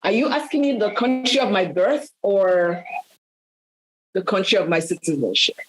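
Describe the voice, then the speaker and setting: A woman's voice: medium pitch, animated, moderate pace, rough recording. A woman with a Nigerian accent telling personal stories in a workshop discussion, self-assured and acting out dialogue as she goes. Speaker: workshop speaker.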